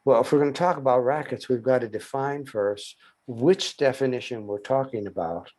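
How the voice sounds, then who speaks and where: Older man, workshop trainer, calm and explanatory, mid-pitched, on a video call. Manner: measured and explanatory, with soft chuckles. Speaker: workshop presenter and psychotherapy trainer. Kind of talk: teaching answers in a workshop Q&A.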